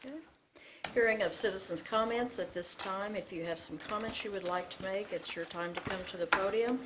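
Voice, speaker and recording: Brisk woman chairing a meeting. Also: mid-range voice, noisy background. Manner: brisk, friendly, procedural. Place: council chamber.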